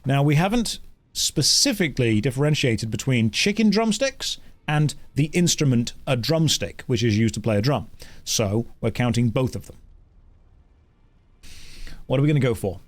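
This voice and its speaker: A man's voice: mid-range voice, animated, normal speed. A man with a British accent hosting a casual livestream, reading chat polls aloud in a playful, wry, jokey way. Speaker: streamer.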